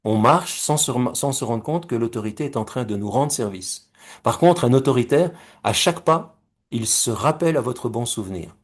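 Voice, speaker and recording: A middle-aged man from Belgium and Rwanda giving a recorded talk, measured and explanatory, with homely comparisons and questions to the listeners. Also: medium pitch, expressive. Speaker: speaker presenting his conflict-resolution method.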